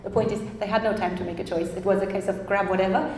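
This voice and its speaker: A woman's voice: medium pitch, steady tone, fast talker, darkish tone, slight background noise. Chatty German woman in an echoey hall. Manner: chatty, laughs often, acts out quoted dialogue. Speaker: photographer. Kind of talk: panel talk about her photo project. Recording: echoey hall, faint ambience.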